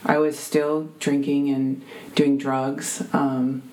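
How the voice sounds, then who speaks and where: A woman with a deep voice, telling a heartfelt faith testimony as a guest, full of ums and restarts. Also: little pitch movement, takes it slowly, darkish tone, poor audio. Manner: earnest, many fillers and restarts.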